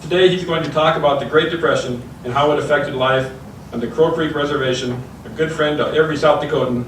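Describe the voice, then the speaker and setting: A man's voice: on the high side, steady tone, fast, fairly bright tone, distant mic. A middle-aged man at a podium in a large meeting room giving a formal introduction with small stumbles. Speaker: host introducing speaker. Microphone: podium mic. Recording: echoey room, mic from afar, faint hiss.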